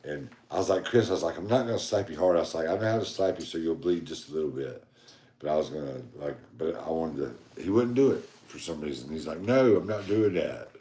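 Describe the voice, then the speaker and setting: A man hosting a podcast, joking around in casual chat with a very deep voice. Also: fairly quick, dark tone, echoey room. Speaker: podcast host.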